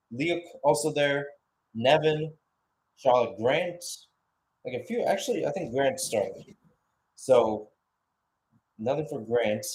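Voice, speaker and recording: A man's voice: medium pitch, slight background noise, low-quality recording. Guy calling a soccer match live, mid-range voice, chatty and analytical, unscripted play-by-play. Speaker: sports play-by-play commentator. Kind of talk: unscripted live football commentary.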